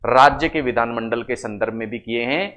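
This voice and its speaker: A man's voice: mid-range voice, fairly quick, a bit bright. A man lecturing didactically, asking rhetorical questions, answering them himself and repeating key phrases. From India. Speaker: teacher for competitive exam classes. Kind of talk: classroom lecture explaining to students. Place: indoor studio classroom in front of a digital board. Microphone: clip-on lapel microphone.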